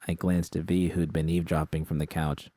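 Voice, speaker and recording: Low-pitched male story narrator, sharp and dry. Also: flat delivery, brisk, no echo. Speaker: narrator reading fan fiction. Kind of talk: first-person scripted narration with acted dialogue.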